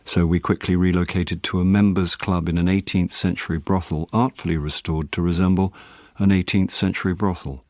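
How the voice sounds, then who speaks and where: An actor playing a wry, hard-up middle-aged British actor who talks and narrates drily in a radio drama. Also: male voice, low voice, brisk, no echo, natural voice. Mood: wry, weary. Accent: British English. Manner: dry, deadpan, world-weary. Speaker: lead character and first-person narrator.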